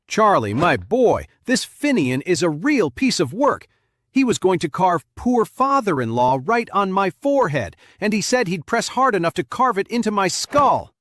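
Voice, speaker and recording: Casting: agitated older complainer, a father-in-law in audio fiction, played by a man, raised pitch, indignant delivery. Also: very animated, normal speed, fairly bright tone, exaggerated voice. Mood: aggrieved.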